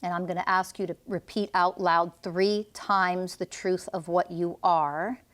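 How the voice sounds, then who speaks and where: A woman's voice: fairly low pitch, fairly even intonation, a little slow, fairly bright tone. A woman energy healer guiding a client through a healing session, commanding and intense, with blunt swearing.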